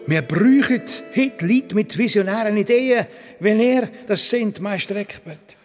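Male, crafty travelling monk, Swiss German, slightly high voice, grandiose flatterer, radio play in echoing hall. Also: unhurried, soft background music. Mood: enthusiastic. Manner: persuasive, grandiose, emphatic. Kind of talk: audio drama acting.

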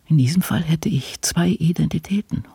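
An actor in a literary radio drama voicing the brooding diary thoughts of an aging crime novelist, gravelly and husky. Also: male voice, a little high, normal speed, dark tone, full character voice. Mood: haunted.

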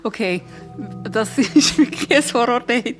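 A woman's voice: fairly high pitch, expressive, a little slow, music in the background. A woman chatting casually as a podcast co-host.

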